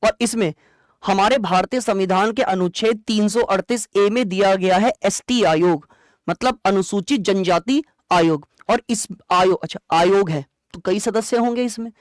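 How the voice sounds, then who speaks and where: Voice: mid-range voice, moderate pace, dry recording, clean studio sound. An exam-prep teacher explains conversationally in a lecture style, asking questions and answering them, repeating key points and checking understanding.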